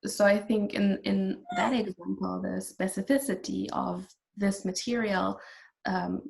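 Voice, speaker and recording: A woman whose first language is German, speaking English as an artist guest, thoughtful and halting. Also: mid-range voice, steady tone, creaky, poor audio. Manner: thoughtful, halting, soft laughs. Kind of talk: casual conversation.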